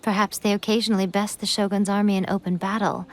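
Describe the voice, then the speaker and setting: A woman playing an elegant, shrewd fox spirit in a video game, teasing and coy, with measured, formal phrasing. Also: mid-range voice, little pitch movement, lightly acted. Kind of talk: scripted video game voice acting. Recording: background music under the voice. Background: game soundtrack music.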